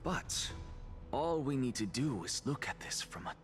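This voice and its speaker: A man's voice: medium pitch, very animated, measured pace, soft background music. A man playing a proud, condescending undead scholar in a story game, lecturing with precise, haughty delivery. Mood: coolly lecturing. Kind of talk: dramatic game voice acting.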